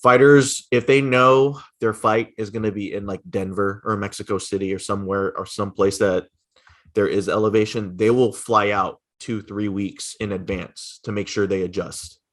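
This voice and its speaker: A young man co-hosting a podcast, chatting in a relaxed way, often chiming in to agree. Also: lowish voice, moderate pace. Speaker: podcast co-host. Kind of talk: casual chat.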